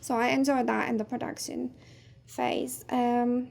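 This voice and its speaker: A woman in her thirties whose first language is Turkish, speaking as a guest in a casual interview, soft-spoken and thoughtful with frequent pauses. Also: on the high side, flat delivery, gravelly. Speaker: guest, game producer.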